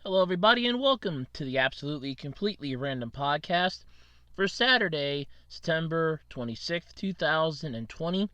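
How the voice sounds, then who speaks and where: A man's voice: fairly high pitch, lively intonation, a little fast, pushed and bright, dry recording. Man with a scratchy allergy throat. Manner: rambling, self-correcting, chuckling. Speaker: podcast host. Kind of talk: casual solo podcast chat.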